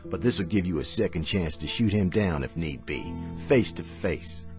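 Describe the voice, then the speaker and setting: Sly miracle-worker con man, low and swooping voice, mock-polite, radio drama, music behind. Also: expressive. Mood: insinuating, mocking. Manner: courteous, insinuating, drawn-out mock politeness.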